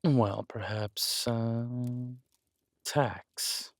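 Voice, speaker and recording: A man's voice: mid-range voice, drawn-out words, no room sound, studio quality. A man playing a scheming, flirtatious villain king in an intimate roleplay audio drama, with a languid, teasing, menacing delivery. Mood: wry, teasing.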